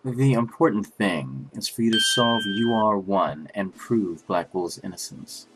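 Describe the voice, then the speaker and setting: A man plays a composed, formal prosecutor in a game fandub. His voice is on the low side. He speaks in a measured, dignified way. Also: expressive, over music, rough recording. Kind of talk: amateur game fandub acting.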